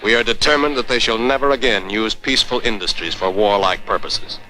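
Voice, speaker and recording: Deep, stern 1940s American newsreel-style man. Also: a little fast, rough recording. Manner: stern, emphatic, commanding. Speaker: narrator of a military training film. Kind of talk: 1940s scripted propaganda film narration. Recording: vintage 1940s film soundtrack. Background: orchestral music.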